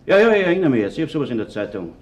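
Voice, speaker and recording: A man's voice: on the high side, big pitch swings, racing, a little mellow. A man acting a well-off middle-aged businessman in a radio crime drama, with a Viennese accent, colloquial and somewhat guarded. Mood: guarded, curious. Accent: Viennese Austrian. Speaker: actor.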